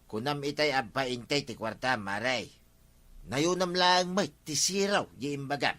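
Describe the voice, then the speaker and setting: A man's voice: mid-range voice, moderate pace, sharp tone, close to an everyday voice. A man playing a grumbling village woodseller in a comedy radio drama, exclaiming and complaining with an Ilocano accent. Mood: exasperated. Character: grumbling working village man who sells firewood.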